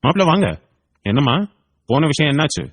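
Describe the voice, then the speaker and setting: A man voicing a caring, earnest middle-aged father and father-in-law in an audio drama, gentle and fatherly. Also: a little high, expressive, a little fast, a little hiss or hum, pitched above the performer's own voice, natural voice. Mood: welcoming. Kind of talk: Tamil audio story acting.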